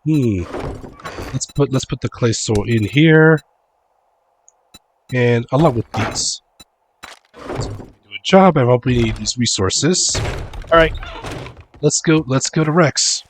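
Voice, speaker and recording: Hoarse man getting over a cold, casual game commentary, mid-pitched, stumbles and repeats words as he plays. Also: animated, slow, pauses often, a little hiss or hum. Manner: repeats words, talks himself through tasks.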